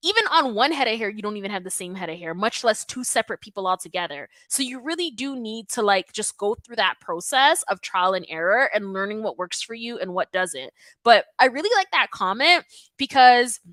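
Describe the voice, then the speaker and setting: A woman from Toronto hosting a live stream, answering viewer questions in an emphatic, animated, conversational way. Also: mid-range voice, fairly quick, dry recording. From Toronto, Canada. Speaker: natural hair YouTube host.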